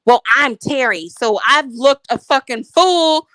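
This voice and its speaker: A woman's voice: sits high, animated, unhurried, fairly bright tone, dry recording, rough recording. A married woman defending herself in a heated, profane livestream argument, emphatic and defensive. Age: adult, married. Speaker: YouTube commentator.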